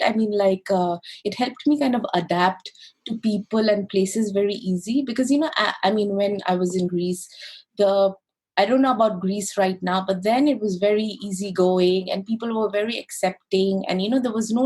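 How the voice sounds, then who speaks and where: A woman from India, a guest on a podcast, speaking in an animated, thoughtful way with many fillers and restarts. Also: mid-range voice. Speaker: podcast guest, service designer. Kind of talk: casual interview conversation.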